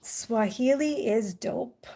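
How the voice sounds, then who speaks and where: A woman's voice: a little high, slow pace, warm tone. A young woman hosting a casual phone live stream, chatting with viewers in a rambling way and often saying "like" and "you know.". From Jamaica. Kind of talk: casual live chat reading viewer comments. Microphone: phone held in hand.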